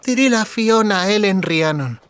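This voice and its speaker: A man's voice: high voice, lively intonation, unhurried, a bit bright, smooth, pitched above the performer's own voice. A man voicing a frightened, submissive young girl posing as a princess in an audiobook, formal and trembling.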